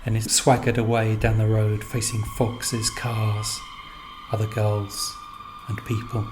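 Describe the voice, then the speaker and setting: A man reading scripted literary narration as a storyteller, in measured, deliberate phrases with pauses. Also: fairly low pitch, monotone, noisy background. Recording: music under the voice. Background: music.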